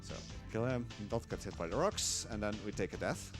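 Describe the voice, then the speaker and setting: A man giving casual live speedrun commentary, explaining haltingly with dry humour. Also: lowish voice, animated, over music. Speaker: speedrunner at a gaming marathon.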